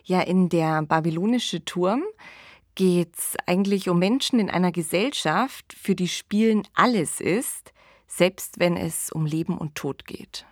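A woman's voice: on the low side, unhurried, no room sound, studio quality. A woman co-hosting a podcast, explaining what a story is about. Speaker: podcast co-host.

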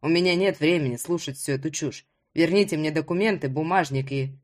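Woman playing an impatient, proud man, pitched low. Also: brisk. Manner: demanding, sardonic. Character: impatient, proud, wealthy stranded man demanding his way out.

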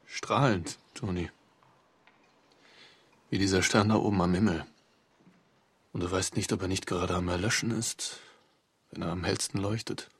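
An actor playing a melancholy middle-aged merchant senator in a radio drama, speaking in a halting, weary way. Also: male voice, sits low, fairly even intonation, husky, no room sound. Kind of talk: German radio drama acting.

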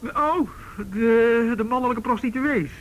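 A teasing off-duty policeman courting someone in a radio play. His Netherlands Dutch speech is casual and halting, on a recording with faint noise and rumble. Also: lo-fi sound. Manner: hesitant, bantering, casual. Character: male suitor, off-duty policeman, bantering and persistent. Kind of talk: naturalistic radio drama dialogue.

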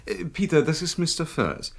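An actor playing a menacingly genial crook in a radio drama, smooth-talking and insinuating. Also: male voice, on the high side, expressive, normal speed. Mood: falsely genial, menacing. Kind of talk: 1970s radio drama acting.

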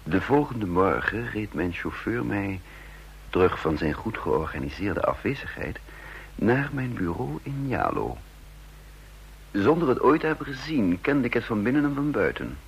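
An actor playing a calculating, self-assured intelligence chief in a radio drama, speaking in measured, formal sentences. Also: male voice, fairly low pitch, sing-song, gravelly, a little hiss or hum, exaggerated voice. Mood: proud, reflective. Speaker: narrator and lead actor. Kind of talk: radio drama acting with first-person narration.

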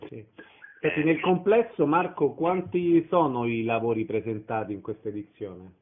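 A man's voice: medium pitch, fairly even intonation, moderate pace, phone-quality sound. A man hosting a radio interview, with orderly, announcer-like phrasing as he introduces and questions his guest.

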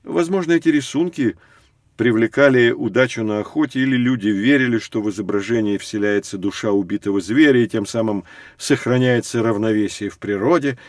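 An actor playing a patient, knowledgeable guide, explaining things to children in measured storytelling for an educational audio drama. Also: male voice, medium pitch, no room sound, clean studio sound, close to an everyday voice. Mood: patient, informative. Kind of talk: scripted educational narration for children.

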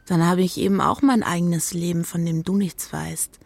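A woman playing a wistful, searching lover in an intimate radio drama, speaking in a hushed, breathy half-whisper. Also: fairly low pitch, fairly even intonation, moderate pace, no room sound.

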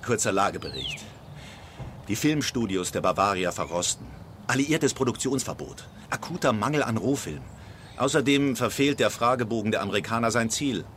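An actor in a German radio drama playing a brash, cynical screenwriter who reports in clipped phrases. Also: male voice, fairly low pitch, animated, normal speed, a bit bright, a little hiss or hum. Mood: brisk, sardonic.

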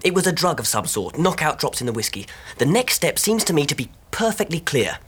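An actor playing a concerned, methodical young husband in a radio drama, well-spoken and reasoning deliberately. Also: male voice, a little high, big pitch swings, fairly bright tone, husky, heavily acted. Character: logical, concerned young English husband, methodical amateur investigator.

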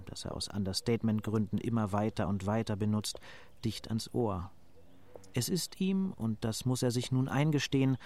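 An actor as a literary narrator, reading scripted radio drama narration in a measured, wry manner. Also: male voice, on the low side, a little mellow.

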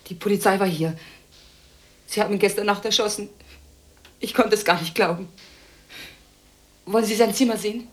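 A shaken landlady played by an actress in a radio play. She talks in hurried, disbelieving bursts at a medium pitch, repeating herself. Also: steady tone, close to an everyday voice. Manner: hurried, repetitive. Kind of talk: radio drama dialogue.

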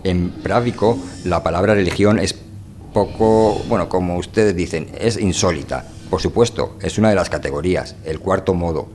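A man acting a calm, inquisitive physicist from an anarchist world in a radio drama, speaking in a measured, questioning way. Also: sits low, slight background noise, lightly acted. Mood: thoughtful, questioning.

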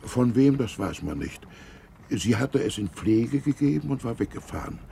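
A man's voice: mid-range voice, animated, normal speed, creaky, dark tone, slight background noise. An actor playing a servile, rustic Russian coachman who gossips plainly in a German radio drama. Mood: matter-of-fact, chatty.

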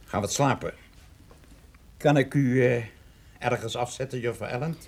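Male actor as radio-drama cop: raspy mid-range voice, sceptical, streetwise, dry and businesslike. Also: mid-range voice, normal speed, darkish tone, lightly acted. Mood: polite. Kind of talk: Dutch radio drama acting.

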